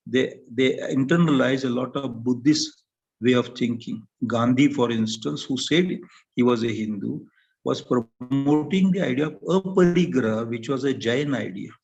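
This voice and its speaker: Older Indian English male panelist, gravelly voice, unhurried and halting delivery, muffled lo-fi recording. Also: mid-range voice, a little mellow. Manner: halting, thoughtful, with many pauses and fillers. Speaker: panel speaker. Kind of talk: academic talk.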